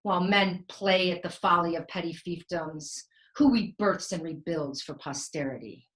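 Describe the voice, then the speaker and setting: A woman playing a vain, playful Renaissance genius in a theatrical comic monologue, with florid delivery, dramatic pauses and sprinkled Italian words. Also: lowish voice, fairly bright tone, natural voice. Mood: resolute. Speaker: stage actor.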